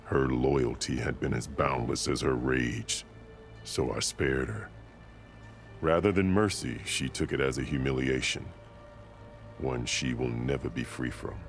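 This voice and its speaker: A battle-scarred warrior chief with an exceptionally deep voice. He speaks formally and solemnly, like a ruler who values order, in dramatic video game dialogue. Music plays clearly behind him. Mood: grave, recounting. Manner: formal, solemn, measured storytelling.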